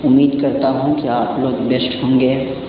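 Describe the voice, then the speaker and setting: A man's voice: mid-range voice, little pitch movement, normal speed. A man hosting a casual step-by-step phone app tutorial, often repeating little check-in phrases as he explains. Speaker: tutorial host. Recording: echoey, muffled, distant mic, noisy background.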